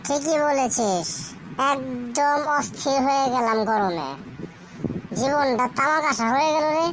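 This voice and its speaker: Very high cheeky cartoon kid voiced by a woman. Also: expressive, unhurried, background noise. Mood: impatient. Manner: teasing, colloquial. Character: cheeky, boastful cartoon child awaiting uncle's stories. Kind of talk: cartoon dialogue.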